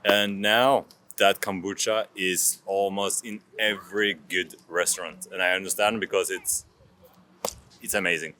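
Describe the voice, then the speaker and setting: A man in his thirties from France, narrating a travel vlog in a casual, halting way. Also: low voice, steady tone, pushed and bright, a little hiss or hum. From France, moved to Norway at six. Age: 30s. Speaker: vlogger.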